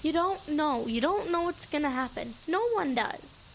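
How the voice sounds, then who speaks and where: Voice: high-pitched, expressive, moderate pace, gravelly, slight background noise. A young performer playing an anxious, upset young kid in an audio drama. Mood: anxious, pleading. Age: child. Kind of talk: youth audio drama acting.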